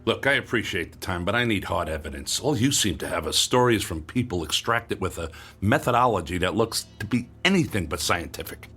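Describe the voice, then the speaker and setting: Cynical writer guy, sharp swoopy sarcastic voice, radio drama. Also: low-pitched, lively intonation, brisk, breathy edge, faint music behind, exaggerated voice. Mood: dry, skeptical. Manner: sarcastic, wry. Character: cynical, combative male conspiracy-book writer.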